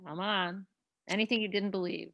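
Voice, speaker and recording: A woman's voice: mid-range voice, steady tone, normal speed, gravelly, fairly bright tone, no room sound, dull audio. A woman playwright leading a casual discussion over a video call, eager and encouraging, often restarting her sentences. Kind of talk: casual online talkback.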